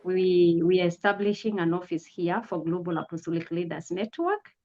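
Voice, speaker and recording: A woman in her forties, a pastor, speaking earnestly and gratefully in an interview, pausing often on "uh". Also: on the low side, expressive, warm tone. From Kenya. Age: 40s. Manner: earnest, grateful, measured with frequent "uh" pauses. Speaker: pastor and guest. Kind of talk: testimony interview. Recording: dull lo-fi audio.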